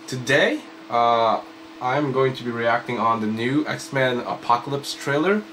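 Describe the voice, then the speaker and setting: A man's voice: medium pitch, a little slow, slight background noise. A young man in his twenties casually reacting to a trailer in a small home room, rambling with lots of fillers and sounding slightly sick. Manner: rambling, filler-heavy, excitable. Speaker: reaction video host. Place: small home room with computer desk, indoors.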